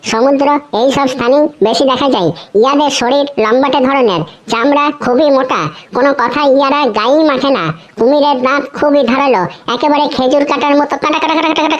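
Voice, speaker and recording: Woman voicing a high-pitched, cheeky cartoon schoolboy. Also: lo-fi sound, lightly acted. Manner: sing-song recitation with repeated words. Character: cheeky, overconfident schoolboy reciting absurd crocodile essays. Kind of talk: comedy cartoon voice acting.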